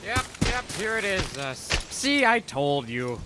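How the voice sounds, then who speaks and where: A man's voice: sits high, big pitch swings, unhurried. An actor playing a cocky, callous, breezy repairman in a radio drama. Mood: breezy, smug. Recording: clearly noisy background.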